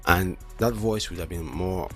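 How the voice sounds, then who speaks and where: A man's voice: low-pitched, normal speed, faint music behind. A man with a lisp who stutters and restarts words, rambling casually and self-deprecatingly as a streamer. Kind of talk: casual solo chat while testing mic settings.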